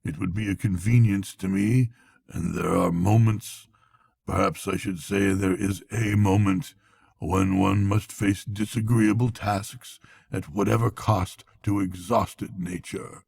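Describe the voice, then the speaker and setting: A man voicing a languid storybook toad, feeble and murmuring, playing the mournful martyr as if gravely ill. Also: low-pitched, fairly even intonation, measured pace, darkish tone, dry recording, exaggerated voice. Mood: sad, sly. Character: languid, self-pitying male toad feigning grave illness.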